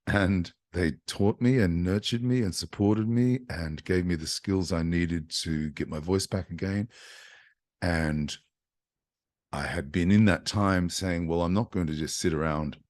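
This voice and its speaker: Man, singer-songwriter, low deep voice, chatty storytelling, video call. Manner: chatty, animated storytelling with frequent restarts. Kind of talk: casual talk to an online audience.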